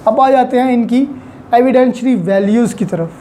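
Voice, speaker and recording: A woman's voice: on the high side, moderate pace, mellow, slight background noise. A woman giving an explanatory lecture in a didactic way, repeating key phrases for emphasis and mixing in English terms. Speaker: law lecturer. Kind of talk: explanatory lecture, Urdu-Hindi mixed with English legal terms.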